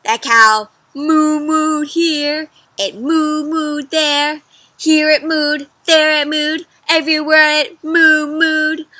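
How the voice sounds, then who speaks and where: Storybook cow: a woman voicing a placid cow that chants sing-song, slow and bright. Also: sits high, flat delivery, dry recording, voice pushed up, full character voice.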